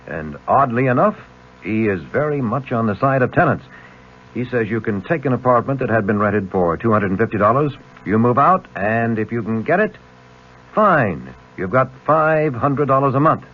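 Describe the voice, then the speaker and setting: A man giving a punchy, scripted news promo as a radio host, emphatic and addressing the listener directly. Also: medium pitch. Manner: emphatic, conversational questions to the listener. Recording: narrow, tinny old radio broadcast.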